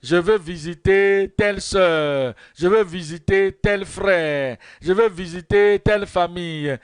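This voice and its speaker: A man preaching at a Bible study, repeating verse references and key phrases in a slow, measured teaching manner. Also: high voice, clear, resonant tone, dry recording, clean studio sound. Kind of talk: sermon.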